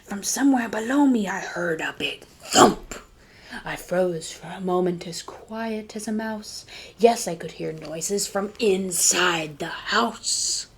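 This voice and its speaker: A woman reading a storybook aloud to children as a librarian, dramatic and dropping to hushed tones for suspense. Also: medium pitch, slow, breathy edge.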